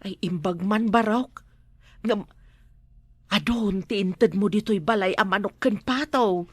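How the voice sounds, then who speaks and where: A woman's voice: mid-range voice, a little slow, husky, no room sound, studio quality. A woman playing a fussy village mother who scolds as she talks. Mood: scolding.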